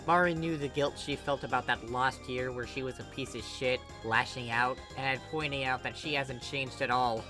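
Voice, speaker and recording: A woman reading fan fiction as scripted narration, in an expressive, dramatic manner. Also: rumbling low, normal speed, few pauses, over music. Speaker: story reader.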